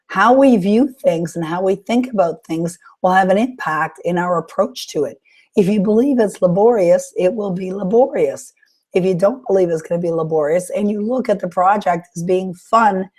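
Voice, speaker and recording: A woman with a low, mellow voice hosts a live webinar, her throat a little sore. She coaches listeners through their questions in an encouraging way, pausing often with small fillers. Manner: warm encouraging coaching talk with frequent "uh" pauses. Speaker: webinar host and author coach.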